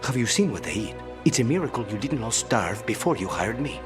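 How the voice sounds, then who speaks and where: An actor playing a suave, dry-witted male assassin in a video game, speaking in a measured, understated way. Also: medium pitch, sing-song, over music, lightly acted. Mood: wry, dry. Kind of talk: video game dramatic voice acting.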